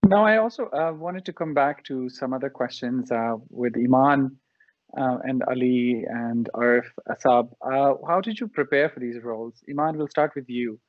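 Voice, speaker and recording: A man's voice: medium pitch, normal speed, dry recording, dull audio. A man moderating a festival Q&A, asking polite, hesitant questions with ums. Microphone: video call.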